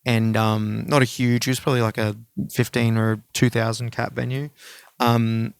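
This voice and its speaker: A man's voice: mid-range voice, flat delivery, moderate pace, gravelly, clean tone, dry recording, studio quality. A man co-hosting a music podcast, chatting casually with informal, sweary enthusiasm.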